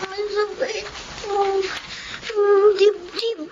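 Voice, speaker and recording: A woman's voice: extremely high pitch, level tone, extremely slow, recorded from a distance, pitched above the performer's own voice, full character voice. A woman voicing a sick young girl who is frightened and short of breath, speaking weakly and tearfully. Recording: muffled, noisy, from off mic.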